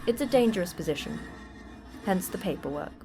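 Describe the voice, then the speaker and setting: A woman playing a terse, teasing manager of a secret monster facility in a fiction podcast, with a brisk, dry, flippant delivery. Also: fairly low pitch, animated, over music. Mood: blunt, firm. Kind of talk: audio fiction podcast acting.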